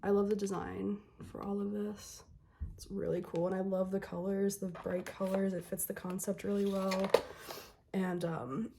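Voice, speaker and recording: A young woman with a hoarse voice, chatting casually to camera while unboxing albums, with many ums and bursts of excitement. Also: medium pitch, normal speed, slight background noise. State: hoarse, recovering from illness. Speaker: K-pop album unboxing vlogger.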